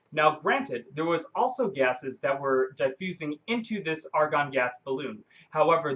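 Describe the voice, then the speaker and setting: A man giving an informal, unscripted science explanation, speaking haltingly and often repeating and rephrasing himself. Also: fairly high pitch, pushed and bright, highs cut off. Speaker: science explainer presenting a video.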